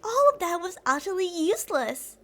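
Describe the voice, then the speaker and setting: A woman's voice: extremely high pitch, big pitch swings, moderate pace, pushed and bright, full character voice. A woman playing an obsessive, delusional female kidnapper who rambles theatrically and teasingly to a captive in an ASMR roleplay. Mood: matter-of-fact rambling.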